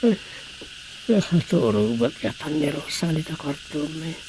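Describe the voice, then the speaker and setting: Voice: medium pitch, animated, normal speed, noisy background, lightly acted. An actor playing a weary, worried villager in a comedy radio drama, hesitant and sighing between phrases.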